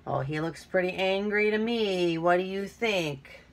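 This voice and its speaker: Middle-aged female storyteller for young kids, unhurried and expressive, with some creak in the voice. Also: fairly low pitch, slight background noise. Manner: expressive, acts out feelings with emphasis and whispers. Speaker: teacher reading to young children. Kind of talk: picture-book read-aloud with chatty asides to children. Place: small cluttered room at home, indoors.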